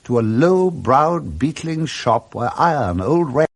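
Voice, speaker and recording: A man with a British accent narrating a Victorian ghost story in a deliberate, theatrical radio drama style. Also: medium pitch, sing-song, gravelly, heavily acted. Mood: grave, suspenseful.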